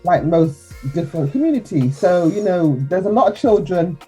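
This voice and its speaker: A woman's voice: lowish voice, animated, moderate pace, mellow, breathy edge, faint music behind. A woman from England, an author and radio guest, telling her own story in an earnest, reflective way. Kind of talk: radio interview.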